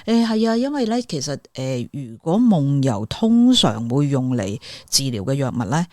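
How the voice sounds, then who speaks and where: A woman's voice: very deep voice, very animated, moderate pace, a little mellow, no room sound, clean studio sound. A woman with a Hong Kong Cantonese accent explaining things conversationally as a psychologist guest, dropping English terms into her speech. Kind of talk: conversational expert explanation on a radio talk.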